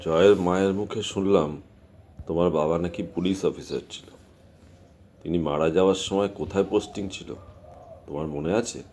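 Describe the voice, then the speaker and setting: A man acting a grave, guarded middle-aged village father in an audio drama, speaking in a measured, cautionary way. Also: low-pitched, steady tone, darkish tone, a little hiss or hum, natural voice. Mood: probing, serious.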